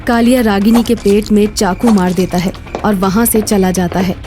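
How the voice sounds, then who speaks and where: A woman's voice: mid-range voice, steady tone, moderate pace, over music. A woman narrating a scripted story as its storyteller.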